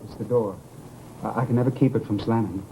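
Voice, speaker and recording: A man's voice: medium pitch, steady tone, natural voice. Man acting an unhinged, pleading widower, old noisy radio. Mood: soft, eerily hospitable. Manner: halting, coaxing, pleading. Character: unhinged grieving widower, softly insistent, clinging to his dead wife.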